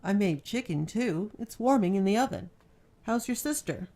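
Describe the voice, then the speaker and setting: A woman's voice: mid-range voice, lively intonation, normal speed, dark tone. Woman doing chill teasing husband guy in an audiobook, laid back, easygoing. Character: Darius, easygoing, teasing husband in pulp novel. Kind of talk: audiobook character dialogue.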